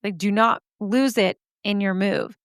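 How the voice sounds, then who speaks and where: A hoarse woman in her thirties chats into a close mic, her scratchy voice thick with vocal fry. She rambles happily through self-deprecating asides, the tone smooth underneath the rasp and the sound dry and close. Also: mid-range voice, steady tone, a little slow, no room sound. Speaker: podcast host. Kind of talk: chatty podcast intro.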